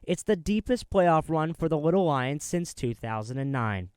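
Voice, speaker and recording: A man's voice: on the high side, animated, moderate pace, a little mellow, smooth, no room sound. A man in his early twenties working as a sports broadcaster, delivering commentary and reporting. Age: early 20s. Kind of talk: hockey play-by-play commentary and scripted sports news narration.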